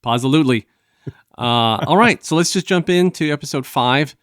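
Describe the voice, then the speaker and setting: A man hosting a review show, casually retelling a story in a halting, conversational way and acting out bits of dialogue. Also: on the high side, a bit bright, smooth, dry recording, clean studio sound. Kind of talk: casual discussion and retelling of an audio drama.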